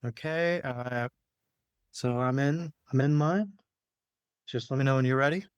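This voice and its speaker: Clear-voiced man with a dry, close sound. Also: mid-range voice, measured pace, no room sound, lo-fi sound. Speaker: podcast host and energy healer. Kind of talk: casual spiritual talk, guiding a healing session.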